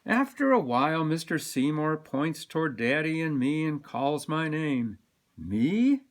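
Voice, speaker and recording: Animated man reading a children's storybook. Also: a little high, measured pace. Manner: animated, dramatic delivery with sound-word emphasis. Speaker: storybook reader. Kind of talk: expressive read-aloud of a children's picture book.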